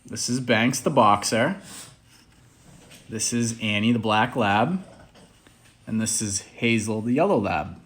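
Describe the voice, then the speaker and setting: A man's voice: lowish voice, animated, slow, pauses often, a bit bright. Man reading a children's story aloud. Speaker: author reading his picture book.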